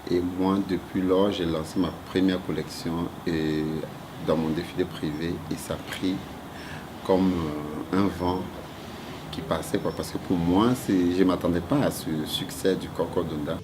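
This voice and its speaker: A man's voice: low voice, fairly even intonation, a little slow, background noise. A man, a fashion designer, reflectively explaining his work in an interview. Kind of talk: interview answer.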